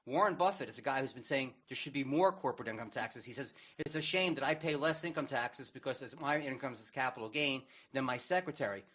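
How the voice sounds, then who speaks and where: A man's voice: mid-range voice, quick pace, few pauses, sharp tone, husky, highs cut off. A man arguing his political opinions on a talk radio panel in a sarcastic, argumentative manner.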